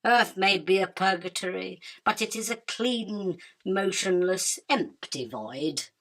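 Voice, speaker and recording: A woman's voice: mid-range voice, takes it slowly, fairly bright tone. A woman playing a haughty, imperious spider queen in a radio drama, declaiming in a grand, self-important manner. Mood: brooding, disdainful. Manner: pompous, grandiose, theatrical declaiming. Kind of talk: illustrated radio drama acting.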